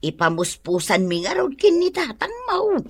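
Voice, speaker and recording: A woman's voice: sits high, very animated, moderate pace. A woman playing a middle-aged, chatty, exclamatory mother with an Ilocano Filipino accent in a comedy radio drama. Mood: animated. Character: middle-aged gossipy mother, exasperated and animated.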